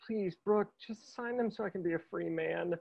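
A man reading a supporting role at a table read, with an eager, excitable comic delivery. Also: high-pitched, moderate pace, vocal fry, slightly rough, muffled recording.

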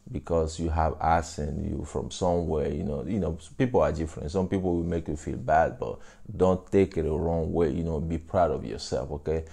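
A man's voice: low-pitched, gravelly, warm tone. A man in his thirties from Africa, whose first languages are a local African language and French, giving earnest, encouraging advice to camera in a vlog, often saying "you know", recorded indoors on a lapel mic. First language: a local African language, then French. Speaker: vlogger. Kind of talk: casual talk to camera. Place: small indoor room, seated on a couch.

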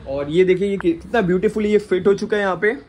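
A man's voice: sits high, fast talker, darkish tone. A young man in his twenties hosting a playful, joking house tour with a phone held at arm's length indoors. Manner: playful, joking, mixes Hindi and English. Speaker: vlogger host. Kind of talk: casual house-tour chat. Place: apartment rooms indoors.

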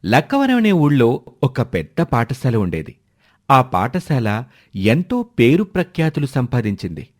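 A man's voice: mid-range voice, huge pitch swings, measured pace. A man narrating a scripted moral story for children as a storyteller.

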